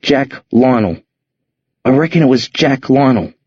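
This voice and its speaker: A man doing a husky, streetwise teenage boy. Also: mid-range voice, animated, unhurried, no echo. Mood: uneasy. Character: streetwise teenage boy, tough, pleading, wary. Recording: dull lo-fi audio.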